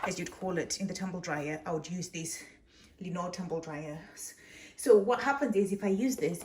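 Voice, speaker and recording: A woman in her thirties from Zimbabwe with a Zimbabwean accent, chatting casually to camera while she tidies at home. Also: on the low side. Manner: chatty, laughs easily, talks while tidying. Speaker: vlogger. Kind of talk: casual vlog chat to camera. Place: home kitchen, indoors. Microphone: camera on counter, medium distance. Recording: echoey kitchen with faint noise.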